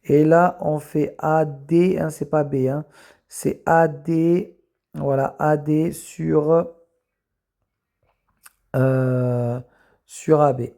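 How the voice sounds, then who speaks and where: Gravelly, mellow-voiced math teacher talking through a worked exercise. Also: medium pitch, fairly even intonation. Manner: explains step by step with many fillers; slows down to write while talking. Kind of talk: recorded lesson correction. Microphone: camera filming a written page.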